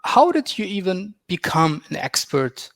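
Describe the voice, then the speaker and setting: Male host, casual and halting, open questions; slightly high voice, dry recording. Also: sing-song, dry recording. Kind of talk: hosting an online fireside chat.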